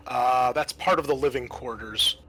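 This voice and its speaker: A man with a bright, forward voice runs a tabletop roleplaying game as game master. He describes the scene off the cuff in a halting, conversational way, with music playing underneath. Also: medium pitch, low-quality recording. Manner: conversational, improvised, halting narration.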